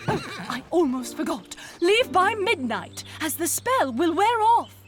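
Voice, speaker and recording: A woman playing a brisk, wry, playfully bossy fairy godmother in a children's cartoon story. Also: very high voice, sing-song, heavily acted. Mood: flustered, reminding. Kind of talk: children's animated story acting.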